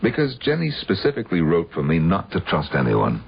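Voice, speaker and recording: A man's voice: low-pitched, huge pitch swings, brisk, vocal fry, slightly rough, full character voice. An actor playing a guarded man pursuing his old love's killer in a radio mystery drama, both in dialogue and narration. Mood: determined. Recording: dull old radio broadcast, music behind.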